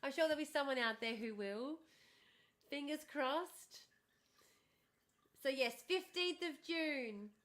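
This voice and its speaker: A woman's voice: high-pitched, takes it slowly, long pauses. A woman hosting a casual livestream, chatty and bubbly, her voice starting to go after hours of talking. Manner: bubbly, chatty, thanks viewers warmly and often. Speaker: livestream host. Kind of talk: casual livestream chat with viewers.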